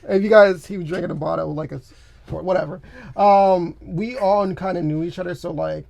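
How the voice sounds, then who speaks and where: A young man co-hosting a podcast in a studio, chatting casually in a rambling, animated way with lots of filler words. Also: high voice, moderate pace, creaky, no echo, highs cut off. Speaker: podcast co-host. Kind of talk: casual chat. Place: recording studio. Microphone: studio microphone.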